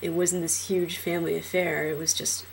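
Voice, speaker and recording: A young transgender man in a casual personal vlog, talking hesitantly with many fillers and restarts, his trained low voice deepened further by a cold. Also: flat delivery, normal speed, creaky, slight background noise, rough recording. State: voice lowered by illness.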